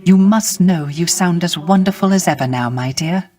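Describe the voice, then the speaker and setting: A man playing a gentle, melancholy male musician of the underworld in a video game, speaking formally and courteously with wistful, poetic, old-fashioned phrasing. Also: high voice, moderate pace, close to an everyday voice. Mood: adoring, grateful. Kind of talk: scripted video game character acting.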